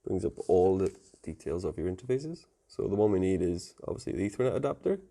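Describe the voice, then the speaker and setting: Low-voiced man casually explaining tech. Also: expressive, fast talker, creaky, dark tone. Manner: informal, plain-spoken explaining. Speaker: technical presenter explaining network setup. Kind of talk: casual conversational tutorial.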